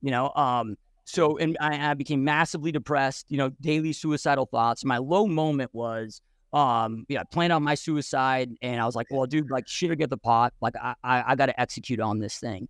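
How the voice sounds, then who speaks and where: Gravelly man telling it straight. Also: medium pitch, moderate pace, dry recording, clean studio sound. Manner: blunt, swears freely, says "dude", many restarts. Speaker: podcast guest. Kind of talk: casual storytelling interview.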